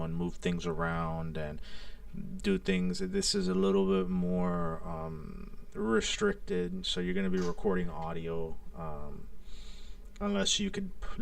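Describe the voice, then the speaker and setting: A man hosting a casual live gear demo, explaining in a halting way as he thinks aloud and works. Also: low-pitched, gravelly, distant mic. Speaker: live stream host and synth demonstrator. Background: synthesizer tones and music.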